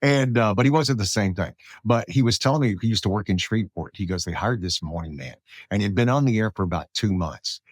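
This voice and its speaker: A man's voice: on the low side, brisk, no echo, studio quality. A middle-aged man hosting a casual interview, chatting and sharing anecdotes like a radio veteran. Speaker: podcast host, radio veteran.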